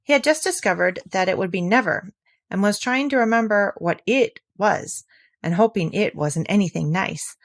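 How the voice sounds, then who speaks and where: Gentle woman reading a storybook. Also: medium pitch, normal speed. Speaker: audiobook reader. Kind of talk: storybook narration.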